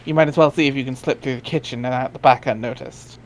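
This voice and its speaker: A man's voice: medium pitch, steady tone, fast. A man reading narration aloud in a dry, wry way, dropping in casual asides. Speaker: reader in a group dramatic reading.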